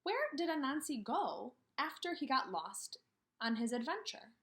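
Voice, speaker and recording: A woman teaching kindergarteners through a read-aloud, speaking in simple, deliberate phrases and posing questions to the children. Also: high voice, sing-song, fairly bright tone. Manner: questioning, pausing for children to answer.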